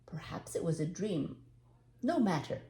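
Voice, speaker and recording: A woman voicing a kindly, hopeful old widower who muses gently to himself in a storybook reading. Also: lowish voice, sing-song, a little slow, reverberant. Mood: wondering, hopeful. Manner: gentle, thoughtful musing to himself. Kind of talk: storybook character voice.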